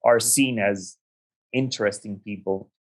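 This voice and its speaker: A young adult man from the United States, an interview guest, telling his life story in a measured, reflective way with frequent ums and restarts. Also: on the low side, steady tone. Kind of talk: conversational storytelling.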